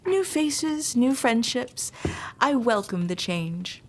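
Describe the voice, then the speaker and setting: A woman's voice: fairly high pitch, expressive, slow. Genteel, chatty noblewoman, faint noise. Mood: sociable. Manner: polite, sweet, sociable. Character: genteel, chatty young noblewoman doting on her dog. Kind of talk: audio drama acting.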